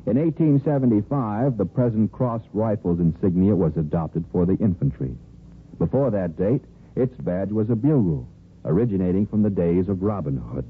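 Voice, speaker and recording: A man's voice: sits low, expressive, moderate pace, slightly rough. A man with an American accent reading formal scripted narration as a 1950s radio announcer. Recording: tinny, faintly hissy old radio recording.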